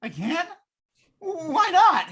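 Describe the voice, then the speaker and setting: A woman's voice: extremely high pitch, sing-song, exaggerated voice. A woman playing a jittery, wheedling, wisecracking repairman in a 1950s radio play, talking in slangy patter. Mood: anxious, relieved. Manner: slangy fast-talking gangster-style patter. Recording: noisy live radio play stream.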